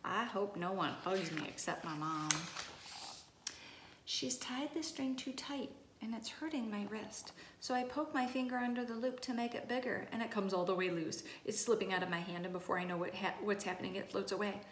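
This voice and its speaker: A woman's voice: medium pitch, moderate pace. A woman reads a children's storybook aloud with expression, in a mid-range voice. The sound is roomy and echoey, with noise behind her. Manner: expressive, acting out exclamations and dialogue. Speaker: storybook reader. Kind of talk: children's picture book read-aloud. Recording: echoey room, clear background noise.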